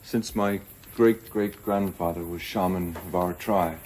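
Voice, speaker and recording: Male, low register, composed TV-drama colonel, deliberate and solemn, noisy soundtrack. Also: steady tone, darkish tone. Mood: solemn storytelling. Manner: measured, solemn storytelling with pauses. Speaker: military colonel. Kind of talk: television drama acting, storytelling.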